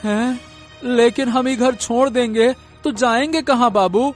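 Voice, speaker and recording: A man's voice: very high voice, unhurried, soft background music. A man voicing a gullible village farmer in a cartoon story, with a bewildered, rustic and at times blustering manner. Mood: helpless. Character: gullible, simple-minded village farmer father.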